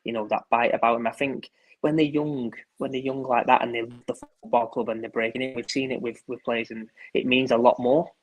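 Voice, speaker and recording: Male pundit, monotone, halting casual chat. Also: mid-range voice, muffled recording. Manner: hesitant, repeats words. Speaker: guest pundit.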